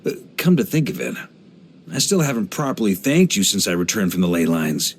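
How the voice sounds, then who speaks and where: An actor playing a genial, laid-back middle-aged knight commander in a video game, with a theatrical, easygoing manner. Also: male voice, low-pitched, sing-song, a little fast, slightly rough, full character voice. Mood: reflective, wry. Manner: jovial, laid-back, theatrical, given to chuckles. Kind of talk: English video game character voice lines.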